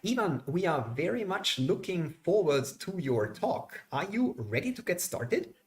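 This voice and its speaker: A man's voice: high-pitched, sing-song, normal speed. A man casually hosting a live online event, encouraging and often repeating his words. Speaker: meetup host. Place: online livestream video call.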